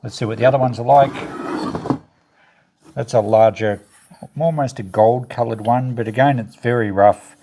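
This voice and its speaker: A man's voice: mid-range voice, fairly even intonation, moderate pace, pauses often. Matter-of-fact man over noticeable background noise. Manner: matter-of-fact, thinking aloud. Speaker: video host, e-waste recycler and reseller. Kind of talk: casual unboxing narration.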